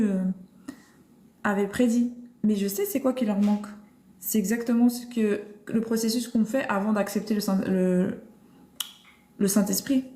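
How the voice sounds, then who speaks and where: Woman host, live-stream Bible chat, mellow tone, halting and searching, echoey room. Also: medium pitch. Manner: thinks aloud with many restarts and fillers. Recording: roomy echo.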